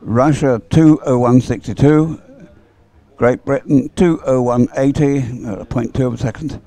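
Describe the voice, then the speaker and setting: A man's voice: mid-range voice, measured pace, dark tone. A man giving live, unscripted sports commentary on a race in an informal, hesitant way, as if thinking aloud.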